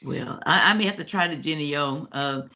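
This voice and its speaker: A woman with a Southern US accent jokes her way through questions on a video call. Her voice is bright and pushes forward. She laughs easily as she talks. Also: low voice, brisk, a little hiss or hum. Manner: playful, joking, laughs a lot. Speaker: meeting participant.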